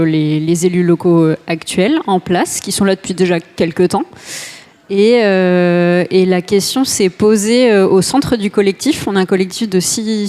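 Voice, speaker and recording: A woman takes a turn at a public assembly, hesitant and full of pauses and fillers. Her voice is smooth and clear, at a middle pitch. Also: a little mellow. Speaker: participant. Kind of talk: spontaneous speaking at an assembly.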